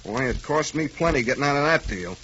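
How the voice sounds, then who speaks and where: An actor playing a cowardly, wry, slangy sidekick with a shady past in a 1940s radio comedy-drama. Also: male voice, on the high side, animated, fairly quick, bright, forceful tone. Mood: wry, reminiscing. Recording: dull, noisy 1940s radio recording.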